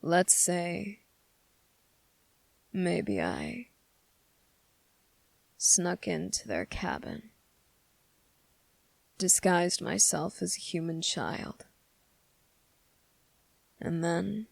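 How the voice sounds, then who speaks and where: An actor playing a brooding, menacing demon with a hidden moral code in an intimate ASMR roleplay, speaking in slow, deliberate, taunting phrases with a gravelly, at times breathy voice. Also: medium pitch, lively intonation, dry recording, heavily acted, rough recording. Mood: defensive, brooding. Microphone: close, dry microphone.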